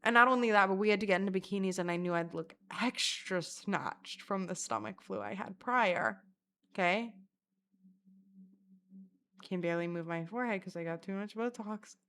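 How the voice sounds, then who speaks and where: A woman vlogger talks straight to her viewers in a loose, rambling way. Her voice sits in a middle pitch, and her delivery is profane and self-mocking. She often bursts into loud laughter. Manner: crude, profane, rambling storyteller. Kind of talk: casual storytelling vlog.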